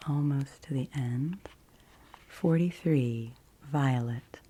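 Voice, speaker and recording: A woman speaks very low and softly, as an ASMR host would. Her voice is breathy and dark, often falling into a true whisper. She takes her time, gentle and hushed throughout. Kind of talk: ASMR, soft whispered card reading.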